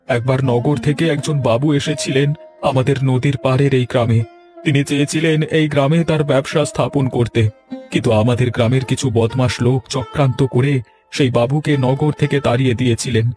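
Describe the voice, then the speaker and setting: A man's voice: mid-range voice, steady tone, brisk, a little mellow, faint music behind, close to an everyday voice. Lamenting poor village father voiced by a man. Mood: regretful. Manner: lamenting, self-pitying. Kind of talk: Bengali cartoon story dubbing.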